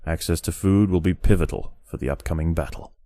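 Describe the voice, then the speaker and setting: A man narrating a game in a scripted nature-documentary style, measured, grave and dramatic. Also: low voice, mellow, dry recording, studio quality.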